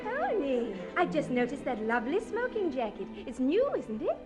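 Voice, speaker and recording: A woman's voice: high voice, very animated, normal speed. An actress with an English accent playing a sweet, blunt, naive young woman in a 1950s radio comedy. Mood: bluntly innocent. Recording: old radio recording, audience and music behind.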